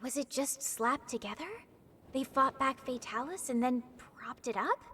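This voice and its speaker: A woman's voice: high-pitched, measured pace, sharp tone. A woman playing an eager young expedition member full of wonder in a video game. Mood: curious. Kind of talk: video game cutscene acting. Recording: faint ambient noise behind.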